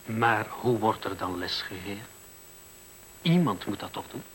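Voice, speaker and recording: An actor in a radio play playing a polite, sceptical male visitor who asks puzzled, measured questions. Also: on the low side, animated, a bit bright, poor audio. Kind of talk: radio drama acting. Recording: hissy old radio recording.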